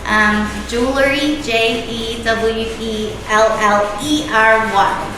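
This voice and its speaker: A woman's voice: on the high side, steady tone, slow, heavy reverb, background noise. A woman from New who owns a shop, talking in a chatty, friendly way. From New Zealand. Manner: chatty, friendly, spells things out. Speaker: shop owner. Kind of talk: casual interview.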